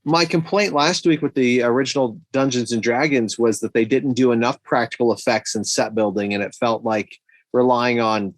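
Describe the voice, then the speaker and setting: A man's voice: mid-range voice, steady tone, moderate pace, no room sound. A man co-hosting a podcast, chatting casually and giving his opinions on films. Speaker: podcast co-host. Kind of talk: casual film discussion.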